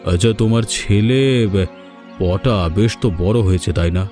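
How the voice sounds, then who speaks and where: A man voicing a well-off village landlord in a cartoon, speaking in a measured, authoritative way. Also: fairly low pitch, dark tone, faint music behind, lightly acted. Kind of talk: Bengali cartoon dubbing.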